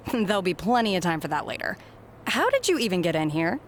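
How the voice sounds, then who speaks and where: A woman's voice: fairly low pitch, very animated, brisk, a little hiss or hum. Exasperated female recruiter, dry sarcasm, no-nonsense, widely varied intonation, game dialogue. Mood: brisk, puzzled. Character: brusque, exasperated female head recruiter at wrestling center. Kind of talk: video game cutscene voice acting.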